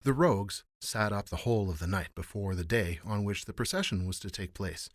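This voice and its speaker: A man reading a fairy tale as a storyteller, in expressive, formal, theatrical narration. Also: on the low side, a little fast, dry recording, studio quality.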